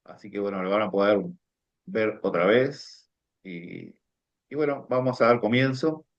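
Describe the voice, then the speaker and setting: A man's voice: on the low side, a little slow. A man, a coach co-hosting a talk, speaking earnestly with many restarts. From Uruguay. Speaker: coach and teacher, co-host. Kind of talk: casual conference talk. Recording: dull lo-fi sound.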